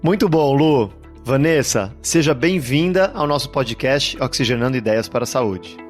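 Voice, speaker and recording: Relaxed man hosting a podcast. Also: medium pitch, moderate pace, faint music behind. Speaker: podcast host. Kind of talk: casual health podcast chat.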